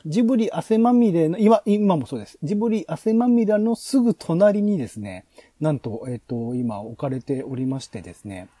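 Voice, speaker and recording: Animated, high-pitched man with a husky voice. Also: normal speed, dry recording. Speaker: podcast host. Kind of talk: planning discussion on a podcast.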